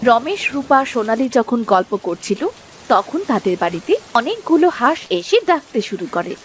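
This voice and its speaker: Expressive high-voiced Bengali woman narrating. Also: moderate pace, slight background noise. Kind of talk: scripted cartoon story narration.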